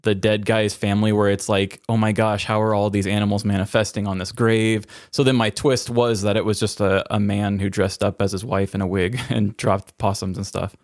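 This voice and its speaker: A man's voice: fairly low pitch, flat delivery, normal speed, hardly any pauses, no room sound, studio quality. A man co-hosting a podcast, chatting casually and recounting things in an informal way. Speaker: podcast co-host. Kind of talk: casual chat.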